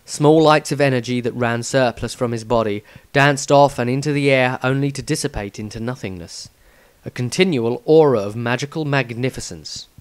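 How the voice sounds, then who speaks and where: A man's voice: medium pitch, clean tone. A man reading a scripted fantasy story aloud as narrator, in a dramatic storytelling manner. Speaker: author reading own story.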